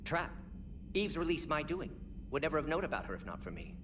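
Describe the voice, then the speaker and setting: An actor playing a brisk, fussy male alien doctor in a video game, speaking in precise, clipped fragments. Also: a little high, very animated. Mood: defensive, resolute. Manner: clipped telegraphic phrasing, rapid-fire, precise. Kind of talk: video game character acting. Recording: noisy game ambience, distant voice.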